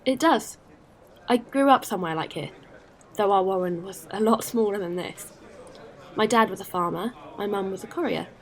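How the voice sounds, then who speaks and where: A woman's voice: mid-range voice, moderate pace, lightly acted. A woman playing a friendly, caring alien spacefarer in a science-fiction audio drama, talking in a casual, considerate way. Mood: warm, casual.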